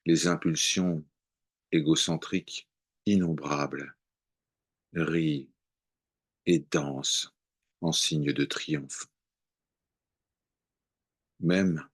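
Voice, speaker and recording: Man, low-pitched, extremely slow delivery, contemplative poem recitation, short phrases, long pauses. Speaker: devotional poetry reader.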